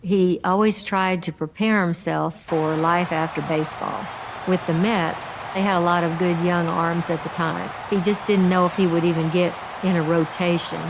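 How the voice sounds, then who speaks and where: A woman's voice: on the low side, fairly even intonation, normal speed. A woman recalling someone's career in an interview style. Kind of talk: interview recollection. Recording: clearly noisy clip.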